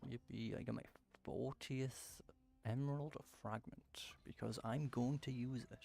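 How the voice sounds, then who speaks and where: A man's voice: mid-range voice, steady tone, unhurried, creaky, dark tone, soft background music. A man giving casual let's-play commentary, rambling and thinking aloud as he plays. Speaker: gaming streamer.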